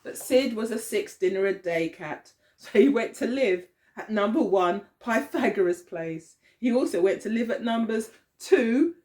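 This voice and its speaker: A woman teacher reading a picture story aloud to young children, expressively, often pausing to put questions to them. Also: a little high, expressive, darkish tone.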